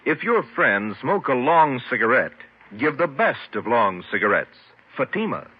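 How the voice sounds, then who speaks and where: A man delivering an emphatic sales pitch as an announcer in a 1950s radio commercial. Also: sing-song, a little slow, husky. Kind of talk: 1950s radio cigarette advertising. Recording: muffled old radio recording.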